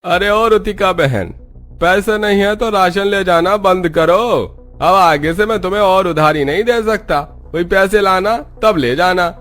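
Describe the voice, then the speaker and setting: A man's voice: sits high, measured pace, fairly bright tone, soft background music. A man voicing a stern, unyielding grocer in a cartoon moral story. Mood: scolding. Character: stern, unyielding male grocer refusing credit.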